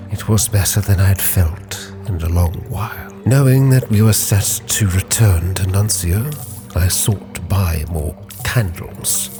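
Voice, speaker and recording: Low-voiced man solemnly narrating a sea tale. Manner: measured, solemn storytelling with hushed phrase endings. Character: sea captain narrating his log. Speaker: narrator and roleplayer. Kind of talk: dramatic in-character story narration. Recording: music under the voice.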